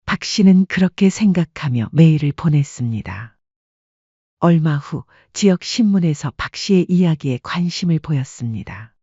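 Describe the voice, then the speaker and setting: A woman reads a scripted story calmly and evenly. Her voice is husky and mellow. The recording sounds dull and dry, close to the mic. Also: low-pitched, no echo. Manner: calm, even storytelling. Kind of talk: scripted audiobook narration.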